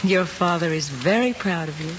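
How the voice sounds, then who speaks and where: Lady acting as proper schoolteacher, calm and measured, old movie, muffled with clear hiss. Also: fairly low pitch, lightly acted. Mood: composed. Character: composed, gently probing woman teacher. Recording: dull, hissy old film soundtrack.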